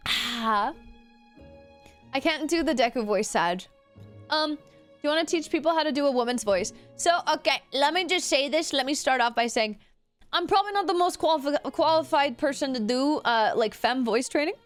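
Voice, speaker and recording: High-voiced chatty woman streamer. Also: lively intonation, normal speed, soft background music. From Canada. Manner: chatty, giggly, swears casually. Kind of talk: casual livestream voice lesson.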